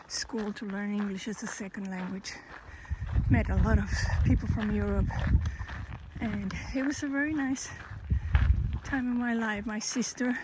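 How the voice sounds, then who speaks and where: A woman in her fifties from Colombia with a Colombian Spanish accent, slowly telling her life story, pausing and correcting herself. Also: on the high side. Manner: slow, thoughtful, with pauses and self-corrections. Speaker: hiker and vlogger. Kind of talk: personal life story, casual. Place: outdoors on a trail. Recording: outdoor recording with background noise.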